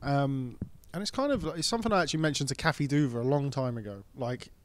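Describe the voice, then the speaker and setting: A man's voice: medium pitch, animated, fast talker, no room sound. Male interviewer with a dry close sound. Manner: long, rambling questions. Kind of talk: interview questions.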